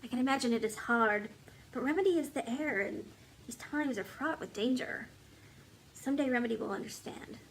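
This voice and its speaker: An earnest, wise and loyal woman of a storybook kingdom, voiced by a female reader. She speaks in measured phrases at a raised pitch, with faint noise behind her. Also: close to an everyday voice, low-quality recording. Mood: caring. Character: loyal, determined woman, wise old friend of a king. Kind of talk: storybook character dialogue.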